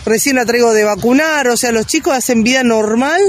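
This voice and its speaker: A woman's voice: on the high side, fairly quick, crowd noise. Chatty woman, filler-heavy. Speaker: circus performer interviewee. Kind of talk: casual interview.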